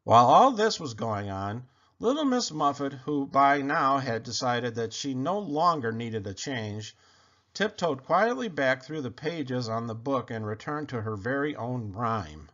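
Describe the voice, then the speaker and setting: Very animated man reading a children's storybook. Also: mid-range voice, unhurried. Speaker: children's book reader. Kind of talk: expressive storybook read-aloud narration. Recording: dull lo-fi recording.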